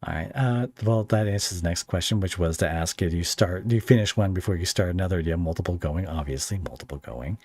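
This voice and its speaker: A man with a low voice and a close, dry sound hosts a casual interview. He is chatty and rambles through his questions, slipping in jokey asides. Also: quick pace, few pauses, darkish tone, no echo. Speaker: podcast host and interviewer.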